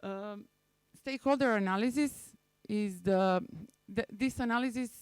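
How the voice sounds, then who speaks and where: A woman with a Serbian accent giving a prepared conference presentation in a hesitant, halting manner, often restarting phrases. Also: mid-range voice, clean tone, dry recording. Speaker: conference presenter, maritime spatial planner.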